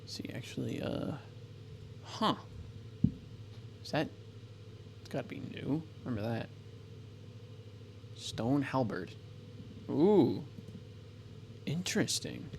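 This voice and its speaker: A man's voice: medium pitch, big pitch swings, takes it slowly, gravelly, long pauses, a little mellow, a little hiss or hum, low-quality recording. Rambling man narrating his gameplay. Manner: rambling, thinks aloud, self-correcting. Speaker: gameplay commentator. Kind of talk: casual let's-play commentary.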